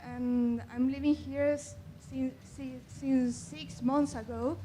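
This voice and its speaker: A young woman from Chile speaks up from the audience in English, which is her second language, with a clear Chilean Spanish accent. She is earnest and slightly apologetic and sometimes gropes for words. Her voice sits high. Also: steady tone, slow pace, dark tone, a little hiss or hum. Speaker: audience member asking a question. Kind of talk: public Q&A remark.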